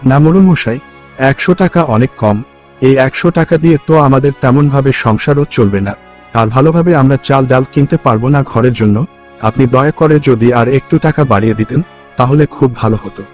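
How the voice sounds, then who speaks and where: A man's voice: medium pitch, fairly quick, a little mellow, faint music behind, natural voice. Poor village husband for a cartoon, played by a man: mid-range voice, meek and earnest. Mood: pleading. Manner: humble, earnest. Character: poor, weak, pleading husband seeking work. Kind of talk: cartoon story dialogue.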